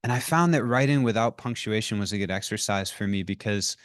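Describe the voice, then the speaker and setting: A man's voice: fairly low pitch, fairly quick, no room sound, studio quality. A man on a poetry reading panel, talking loosely and chattily with lots of "like"s before an audience. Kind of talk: casual panel talk.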